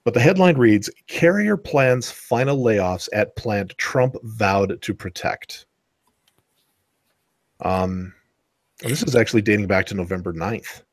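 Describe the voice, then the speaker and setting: Low-voiced rambling man. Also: lively intonation, slow, no room sound. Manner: rambling, self-correcting. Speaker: podcast co-host. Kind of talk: casual chat.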